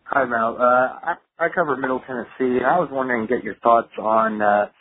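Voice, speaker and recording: A man's voice: medium pitch, brisk. A man working as a sports reporter, hesitantly asking a conversational question on a conference call. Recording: tinny phone line, faint noise.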